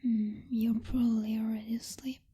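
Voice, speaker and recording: A woman playing a possessive, jealous vampire girlfriend who is commanding but secretly tender, in a soft, intimate ASMR whisper close to the microphone. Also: a little high, level tone, slightly rough. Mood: jealous, vulnerable. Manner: slow, teasing, domineering, with lingering pauses and hums.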